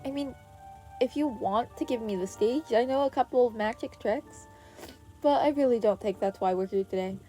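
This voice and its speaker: A woman's voice: on the high side, normal speed, dark tone, faint music behind, natural voice, rough recording. A woman voicing a self-assured, wry teenage boy hero with a casual, dry manner. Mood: cocky, defiant.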